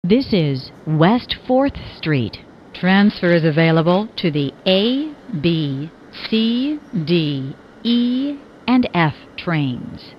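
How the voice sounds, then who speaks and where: A woman delivers scripted transit announcements at a slow, measured pace. Her voice sits slightly low, and the phrases are formal and clipped, as if pieced together. The recording is a little dull and lo-fi. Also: sing-song, a little hiss or hum. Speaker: subway train announcer.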